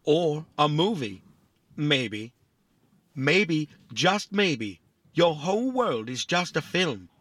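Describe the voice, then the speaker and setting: Vain little chatty robot, higher-pitched. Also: male voice, big pitch swings, slow, fairly bright tone, no echo, exaggerated voice. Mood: vain, musing. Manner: boastful, musing, politely fussy. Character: small vain chatty philosophical robot, male. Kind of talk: family audio drama acting.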